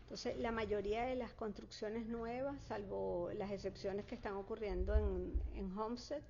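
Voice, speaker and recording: A woman's voice: medium pitch, fairly even intonation, normal speed, creaky, darkish tone, slight background noise. A woman working as a real estate consultant, answering interview questions fluently with frequent fillers and restarts. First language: Spanish. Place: Florida. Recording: dull lo-fi sound.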